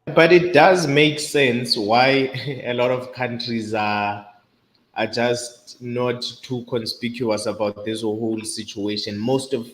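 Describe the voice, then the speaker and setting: A man's voice: mid-range voice, a bit bright. A man making earnest points in a casual live-stream discussion, repeating them for emphasis. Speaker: discussion panelist. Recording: roomy echo with faint noise.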